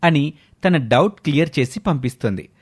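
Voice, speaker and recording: A man's voice: fairly high pitch, animated, moderate pace, breathy edge. A man delivering scripted storytelling narration as the narrator of an audio story.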